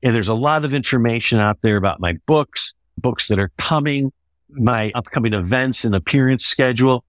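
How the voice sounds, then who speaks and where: A man's voice: medium pitch, moderate pace, no echo. A man talking as a guest author in an interview, discussing his own work conversationally.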